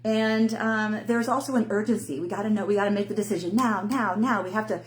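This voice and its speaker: A middle-aged woman hosting a casual live talk, speaking to her viewers in an animated way. She laughs often and acts out the inner voices of fear. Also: mid-range voice, fairly quick, slight background noise. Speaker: host, intuition coach.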